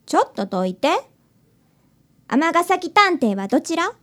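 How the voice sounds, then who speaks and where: A woman's voice: very high-pitched, very animated, unhurried, bright, forceful tone, full character voice. A woman playing a cheeky, precocious young schoolgirl in a radio drama, bossy and haughty. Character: cheeky, precocious little schoolgirl who challenges detectives.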